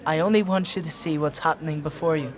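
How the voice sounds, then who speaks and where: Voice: mid-range voice, fast, close to an everyday voice, rough recording. Actor doing a young streetwise sidekick, wary and informal, fantasy audio play. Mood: hushed, coaxing. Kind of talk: dark fantasy audio drama acting.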